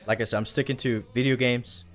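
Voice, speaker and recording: A man's voice: medium pitch, fairly even intonation, brisk, faint music behind. A man hosting a casual live stream, talking in an informal, slangy and playful way. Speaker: host.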